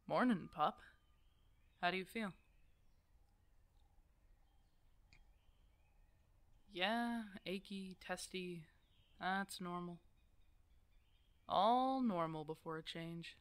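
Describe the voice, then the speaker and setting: Woman playing a slow-talking, gruff female werewolf hermit. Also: on the low side, no room sound. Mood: teasing, caring. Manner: teasing, blunt, casual one-sided dialogue. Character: gruff, stubborn, protective older female werewolf hermit mentor. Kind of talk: scripted ASMR roleplay audio drama.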